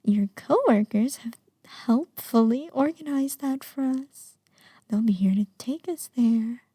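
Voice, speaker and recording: A woman acting an obsessive, lovesick young kidnapper in an intimate ASMR roleplay, sweetly menacing with breathy murmurs and sighs. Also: a little high, slow pace, dark tone, dry recording, studio quality. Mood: possessive, doting.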